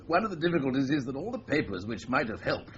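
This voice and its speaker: A man plays a British police superior in a 1980s radio crime drama. He is brisk and drily authoritative, with a lively, medium-pitched voice. The sound is dull with a faint noise behind it. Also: close to an everyday voice. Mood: brisk, exasperated. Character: brisk senior policeman, male superior briefing his inspector.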